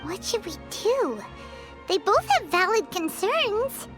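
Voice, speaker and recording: Squeaky, chirpy little fairy sidekick. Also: female voice, sing-song, slow, full character voice. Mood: concerned. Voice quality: squeaky, childlike. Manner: cute exaggerated delivery, refers to herself in third person. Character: small chirpy floating fairy companion, childlike and blunt. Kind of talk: video game character acting. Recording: background music under the voice.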